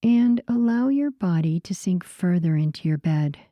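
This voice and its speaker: A woman guiding a sleep meditation in a soothing, unhurried way, with a soft voice that turns breathy at the ends of phrases. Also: lowish voice, lively intonation, dry recording, studio quality. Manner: soothing, unhurried, gentle pauses. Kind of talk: slow guided sleep meditation narration.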